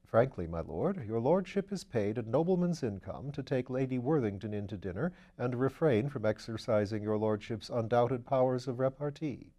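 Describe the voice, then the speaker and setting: A man voicing a dignified, deferential manservant in an audiobook, formal, measured and understated. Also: mid-range voice, lively intonation, warm tone, natural voice. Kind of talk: audiobook character voice.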